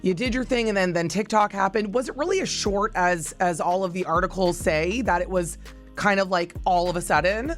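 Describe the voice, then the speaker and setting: A woman's voice: mid-range voice, a little fast. Woman, radio host, casual celebrity interview, informal chatty phrasing, music underneath.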